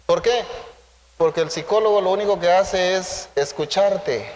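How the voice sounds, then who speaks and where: A man with a Central American accent preaching conversationally to a congregation, animated and playful, full of rhetorical questions and acted-out dialogue. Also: sits high, measured pace. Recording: echoey hall with long reverb.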